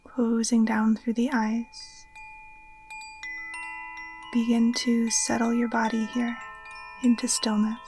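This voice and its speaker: A woman with a fairly high, soft and breathy voice, often close to a whisper, leads a guided relaxation. Her delivery is gentle and unhurried, with an extremely even melody. There is faint noise in the recording. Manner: soothing, gentle, unhurried instruction. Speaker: yoga nidra teacher. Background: occasional chimes.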